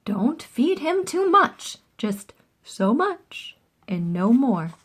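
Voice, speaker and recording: A woman's voice: fairly high pitch, sing-song, breathy edge, heavily acted. A woman voicing a stern, knowing but helpful man in a storybook, emphatic and drawing out words. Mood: stern advice. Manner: emphatic, deliberate, drawn-out words.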